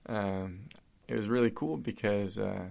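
A young man from Argentina, a podcast guest talking in an earnest, heartfelt way with halting restarts. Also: on the low side, gravelly, a little mellow. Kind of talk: casual conversation.

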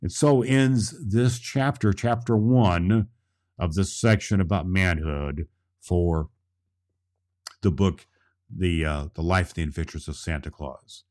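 A man's voice: low voice, slow pace, darkish tone, pitched below the performer's own voice. A man playing Santa Claus as a jolly old storyteller, talking directly and emphatically to children. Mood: jolly, earnest. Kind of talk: children's storytelling and friendly show hosting.

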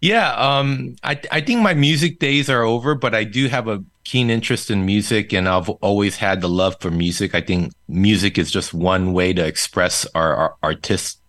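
A man's voice: lowish voice, moderate pace, few pauses, a bit bright, dry recording. A man from South Korea whose first language is Korean, telling his story as a guest in a casual interview, with frequent fillers. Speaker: podcast guest.

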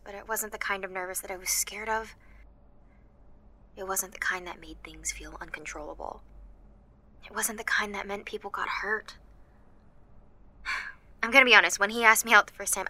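An anxious teenage girl with superpowers in an audio drama. Her voice is bright and keen, and she confides in a halting, self-deprecating way. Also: mid-range voice. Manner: confiding, halting, with nervous laughs and sighs. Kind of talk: first-person audio drama narration and dialogue.

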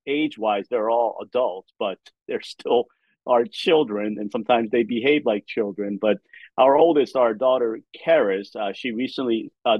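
A man in his forties with an American accent, born in South Korea and raised in the United States, answering as a guest pastor in a steady, friendly way. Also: on the low side, no echo, dull audio. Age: 40s. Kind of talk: interview answers.

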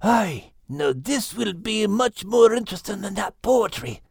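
A woman voicing a cheeky, eager male animal in a storybook, in a hoarse whisper. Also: mid-range voice, lively intonation, unhurried, darkish tone, no room sound, studio quality, pitched above the performer's own voice, exaggerated voice. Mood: eager, gleeful.

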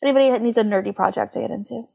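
A woman's voice: a little high, racing, vocal fry, a little mellow. Casual chatty woman podcaster. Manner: conversational, heavy use of "like". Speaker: podcast co-host. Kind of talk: casual astrology podcast chat.

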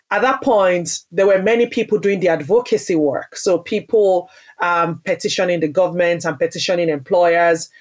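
Earnest woman from Nigeria telling her story. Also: fairly low pitch. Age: adult, parent. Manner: earnest and emphatic, with frequent restarts. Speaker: podcast guest, business founder. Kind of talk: interview answer, personal story.

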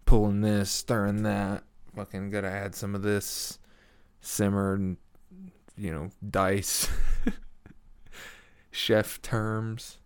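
A young man with a deep voice hosting a casual solo podcast, laid-back and swearing often, chuckling at his own stories. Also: level tone, takes it slowly, smooth, no echo. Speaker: podcast host. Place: small home room with foam on the walls. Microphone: studio mic at a desk.

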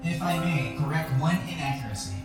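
An actor voicing a prim, cautious lab computer in an audio drama, speaking formally with dry, measured politeness. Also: medium pitch, expressive, lightly acted. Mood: stern, dry. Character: prim, cautious artificial intelligence lab machine. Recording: very echoey, music behind. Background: music.

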